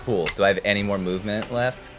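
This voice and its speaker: A man's voice: lowish voice, fairly even intonation, fast talker. A man playing a tabletop game, talking casually with the group. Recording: background music under voice. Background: background music.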